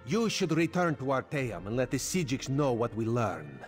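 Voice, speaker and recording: An actor playing a solemn cat-man royal agent and executioner in a video game, speaking in a measured, formal way. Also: mid-range voice, very animated, natural voice. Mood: weary, businesslike. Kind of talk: video game character acting. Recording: music behind.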